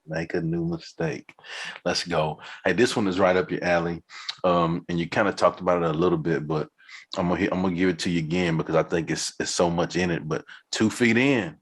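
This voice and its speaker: A man's voice: low-pitched, a little fast, slightly rough. A man hosting an interview, talking in a casual, enthusiastic and affirming way and laughing easily. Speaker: podcast host.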